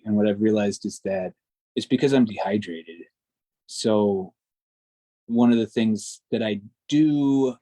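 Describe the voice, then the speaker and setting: A man who narrates audiobooks, talking in an easy, rambling way at a middle pitch. He restarts his sentences as he goes, and his voice sounds close and dry with no room around it. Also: no room sound. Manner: relaxed, rambling, self-correcting. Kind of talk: casual video chat.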